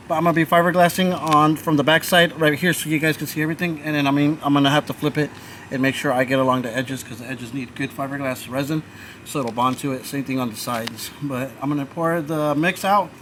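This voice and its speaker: A man with a slightly high voice talks viewers through a hands-on job in a casual vlog. He is informal and slangy and tends to repeat himself. Outdoor ambience and vehicle noise come through clearly around his voice. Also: fairly even intonation, fast, a bit bright. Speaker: host of a DIY car audio video channel. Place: backyard, outdoors. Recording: noisy outdoor recording, vehicle and ambient sounds.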